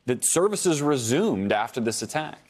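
Guy news anchor asking interview questions, normal pitched voice. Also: very animated, normal speed, slight background noise.

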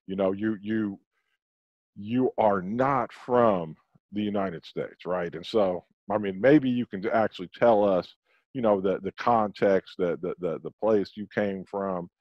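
A man co-hosting over a video call on a headset, talking in halting bursts with repeated restarts. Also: fairly low pitch, a little mellow, no room sound, muffled recording. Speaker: podcast co-host. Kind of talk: casual video-call chat.

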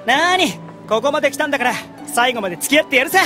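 An actor playing a blunt, gullible young swordsman with a rough, easily flustered manner in an anime audio drama. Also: very high-pitched, expressive, racing, music in the background. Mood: impatient, casual. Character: brash, gullible young male swordsman, blunt but loyal.

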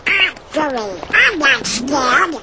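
A man voicing a brash, excitable cartoon duck with a garbled, quacking voice. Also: very high-pitched, takes it slowly, a little hiss or hum, full character voice. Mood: boastful.

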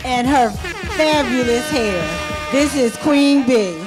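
A woman's voice: high voice, animated, slow pace. A woman at a handheld mic in a restaurant bar, announcing outfits at a fashion show in a presenting, sing-song way. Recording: music playing loudly behind.

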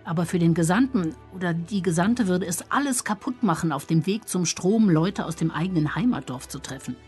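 A woman's voice: on the low side, lively intonation, a little fast, a little mellow, natural voice. Woman acting a worried villager over music. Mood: reflective, worried. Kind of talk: first-person audio drama monologue.

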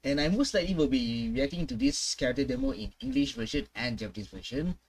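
Young man with lively casual delivery. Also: medium pitch, fast talker, creaky, a little hiss or hum. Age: young adult. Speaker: host of a gaming reaction channel. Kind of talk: casual reaction commentary. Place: small indoor room.

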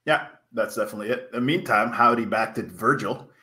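Bright-voiced man with a cold, commenting. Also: medium pitch, fast. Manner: excitable, rambling, slangy. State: a bit under the weather, coughing. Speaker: wrestling commentator. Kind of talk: casual live-stream commentary chat. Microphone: headset.

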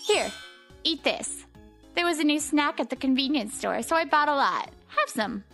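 Woman as a high-voiced, teasing teenage girl. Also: expressive, normal speed, creaky, fairly bright tone, full character voice. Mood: breezy teasing. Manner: casual, teasing, blunt. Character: teasing, bossy but caring teenage delinquent girl. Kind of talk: manga comic dub dialogue. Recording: background music under the voice.

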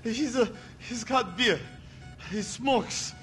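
Pleading captive, very high, slow. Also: male voice, fairly bright tone, soft background music, full character voice. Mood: terrified. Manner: halting, stammering, pleading. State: in pain, sobbing. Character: terrified, pleading male torture victim. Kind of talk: video game cutscene acting.

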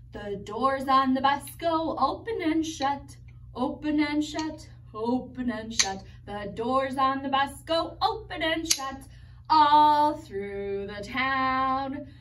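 A woman in her thirties teaching a children's music class, singing a sing-along song and making playful animal and robot sounds. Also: high voice. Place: studio set with plants and toys, indoors. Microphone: camera a few metres away. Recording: distant mic, faint room noise.

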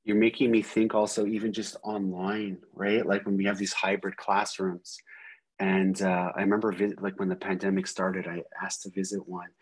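A man, a native English speaker with a slightly husky voice, chatting casually in a group video call. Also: low-pitched, little pitch movement, normal speed, low-quality recording. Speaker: educational technology consultant.

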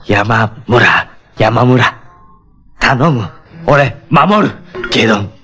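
An actor playing a wild young jungle-raised hero who speaks halting, broken phrases in a 1970s TV action drama. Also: male voice, medium pitch, expressive, pushed and bright, soft background music, exaggerated voice. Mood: halting, earnest. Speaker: actor in a TV superhero series.

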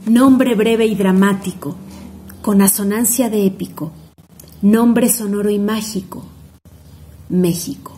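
A young woman with a Mexican accent recites poetry, savoring each word with a rhythmic, expressive delivery. Her voice is mellow and warm, close to a phone at home. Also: medium pitch, slow pace, long pauses, slight background noise. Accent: Mexican Spanish. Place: home room indoors.

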